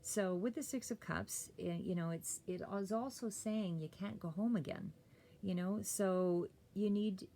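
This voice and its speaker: A woman doing a casual, rambling tarot reading, a little hoarse and clearing her throat, with many fillers and restarts. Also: medium pitch, measured pace, dark tone. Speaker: tarot reader.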